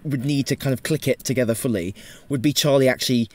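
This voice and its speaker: A man's voice: medium pitch, fast, darkish tone. A man with a mid-range voice speaks informally as a podcast panelist. He takes a roundabout route through his thoughts, restarting often and peppering them with "like". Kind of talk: casual discussion.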